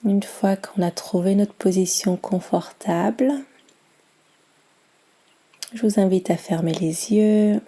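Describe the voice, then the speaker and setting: A woman guiding a yoga nidra meditation in a soft, soothing, unhurried manner with long pauses. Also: medium pitch, steady tone, breathy edge. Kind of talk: guided meditation.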